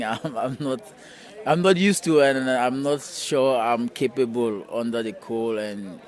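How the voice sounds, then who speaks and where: A man from Cameroon, a migrant, answering interview questions in a conversational way with frequent fillers. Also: medium pitch. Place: outdoors in a city.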